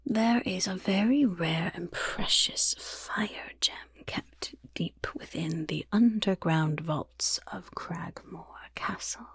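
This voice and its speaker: A woman's voice: on the low side, slow, hardly any pauses, no echo, exaggerated voice. A woman playing a chatty, roguish goblin guild member in a whispered ASMR fantasy roleplay, with casual, conspiratorial delivery and wry asides. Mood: sly, intrigued. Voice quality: breathy, whispery.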